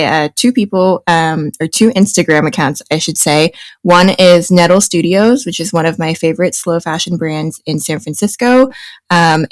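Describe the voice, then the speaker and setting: A woman's voice: on the low side, dry recording. A young woman from New Jersey co-hosting a casual podcast, reading a scripted intro and then chatting loosely with fillers. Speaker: podcast co-host.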